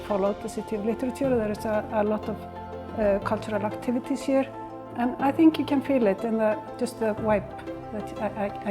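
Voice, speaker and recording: Woman, higher voice, hesitant. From Iceland. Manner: warm, hesitant, many fillers. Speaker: delegate. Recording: music playing behind.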